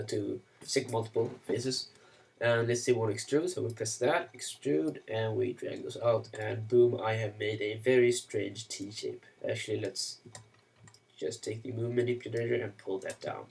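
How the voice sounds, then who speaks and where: A man who grew up speaking Swedish, walking through software steps in a loose, self-mocking way with plenty of fillers. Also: medium pitch, gravelly, distant mic. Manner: rambling, self-mocking asides, many "uh" and "you know". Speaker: software tutorial maker. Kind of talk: casual screen-recorded software tutorial.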